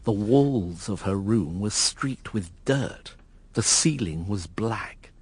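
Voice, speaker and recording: A lowish male voice, measured and sombre. He narrates a radio play as a reflective gentleman looking back, over faint noise. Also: expressive. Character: reflective gentleman recalling a lost love. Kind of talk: scripted narration in a radio drama.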